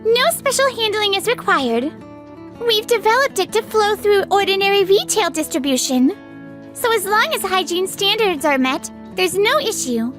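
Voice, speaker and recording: A woman's voice: very high-pitched, expressive, normal speed, fairly bright tone, faint music behind. A woman voicing an earnest, easily flustered young businesswoman in a romantic comedy manga dub. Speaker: voice actor.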